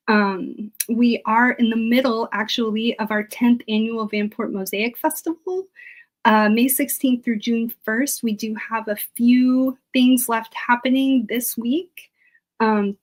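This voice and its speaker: An American woman giving an informal research presentation as a guest historian. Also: on the high side.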